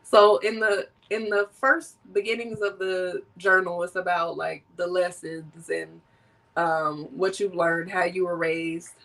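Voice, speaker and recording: A woman's voice: lowish voice, little pitch movement, a little slow, a bit bright, distant mic, low-quality recording. A woman hosting a casual conversation, a little nasal from a cold and laughing often. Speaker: podcast host.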